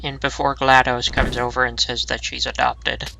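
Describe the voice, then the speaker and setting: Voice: medium pitch, level tone, moderate pace, creaky, pushed and bright, slight background noise, rough recording. A let's play co-commentator making casual, wry remarks over a video game.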